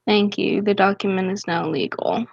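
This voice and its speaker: A woman's voice: medium pitch, creaky, muffled recording, a little hiss or hum, lightly acted. A woman playing a formal, businesslike commissioner of oaths in a radio drama. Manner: slow, official.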